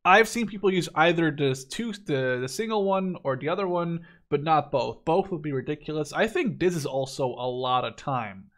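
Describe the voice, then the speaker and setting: A man's voice: a little high, animated, moderate pace, few pauses, fairly bright tone. A man in his twenties from the Netherlands giving casual, opinionated game review commentary in informal language with some swearing. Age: 20s. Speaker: gaming video host.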